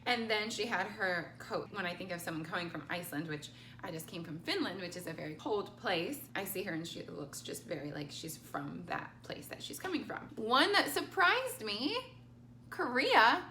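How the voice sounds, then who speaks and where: Young woman vlogger, bright and chatty, gushing over looks, slightly echoey room. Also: medium pitch, normal speed, a little hiss or hum. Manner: gushing, animated, with lots of "like" and "I loved". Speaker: pageant vlogger and coach. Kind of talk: casual chatty commentary to camera.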